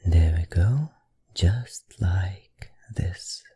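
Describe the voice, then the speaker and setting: A performer voicing a seductive, teasing vampire librarian in an ASMR roleplay, with a put-on foreign accent, breathy and husky, often whispering, in slow, soothing, formal speech. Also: low-pitched, mellow, exaggerated voice. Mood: hypnotic, soothing.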